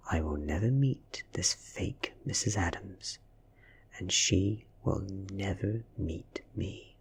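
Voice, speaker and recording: A man with a British accent giving a breathy, hushed ASMR reading of a story, slow and deliberate. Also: sits low. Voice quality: breathy, whispery. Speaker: audiobook reader.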